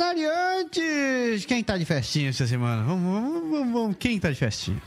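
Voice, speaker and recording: A man's voice: very high-pitched, wildly swooping pitch, fairly quick, music in the background. A man, chatting casually and jovially as a podcast co-host. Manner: jovial, joking. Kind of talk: casual chat.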